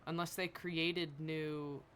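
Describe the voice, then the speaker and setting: Bright-voiced game streamer thinking aloud. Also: mid-range voice, little pitch movement, slow, a little hiss or hum. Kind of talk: casual live gaming stream chat.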